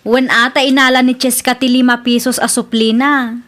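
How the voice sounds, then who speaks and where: A woman's voice: a little high, little pitch movement, normal speed, fairly bright tone, lightly acted. A woman with an Ilocano accent playing a caring, protective married elder-sister figure in a comedy radio drama. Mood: aggrieved.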